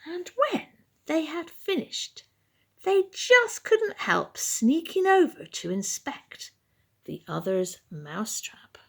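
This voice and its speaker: Female storybook reader, slow and theatrical, with creaky edges, for bedtime tales. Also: high voice. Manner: dramatic, expressive, deliberately paced. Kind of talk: animated children's bedtime story reading.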